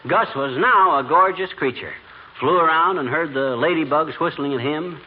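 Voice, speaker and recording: An actor playing a grumbling, excitable husband with a blustery, folksy, slangy manner in a 1940s radio comedy. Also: male voice, fairly high pitch, moderate pace, lightly acted. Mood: folksy storytelling. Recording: noisy, muffled old radio recording.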